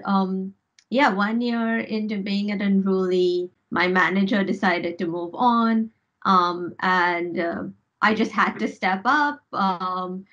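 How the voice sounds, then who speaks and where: A woman answers an interview question in a reflective, hedging way, at a middling pitch. The recording is a little dull and lo-fi, as if from a call. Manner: hedging, often says "sort of". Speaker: interviewee, creative team lead.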